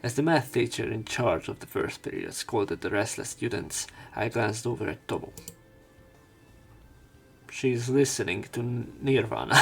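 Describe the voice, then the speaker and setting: A man's voice: fairly low pitch, expressive, unhurried, vocal fry, faint music behind. A man reading a visual novel aloud as a let's player, with casual commentary and joking asides. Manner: joking asides between read passages.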